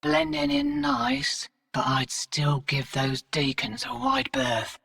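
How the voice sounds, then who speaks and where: A woman's voice: a little high, very animated, slow, vocal fry, fairly bright tone, big-room sound, recorded from a distance, full character voice. An actress playing a brash, streetwise female smuggler and commander in a video game, with a cocky, blunt, slangy delivery. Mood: friendly, sly. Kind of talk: video game character dialogue.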